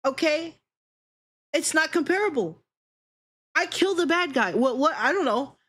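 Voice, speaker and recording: A woman's voice: high-pitched, animated, normal speed, fairly bright tone. A woman streamer chatting casually and giving blunt opinions, swearing freely and repeating herself for emphasis. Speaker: livestreamer, VTuber host. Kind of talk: casual livestream chat.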